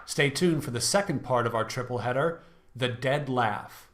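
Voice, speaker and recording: A male announcer with a medium-pitched voice presents a vintage radio drama hour. He reads scripted host links in a measured, theatrical manner.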